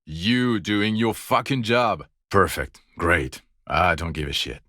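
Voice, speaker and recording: An actor playing a world-weary, fed-up police detective in a video game, profane and sneering, with deadpan sarcasm. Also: male voice, sits low, slow pace, no echo, studio quality, heavily acted. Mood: scornful.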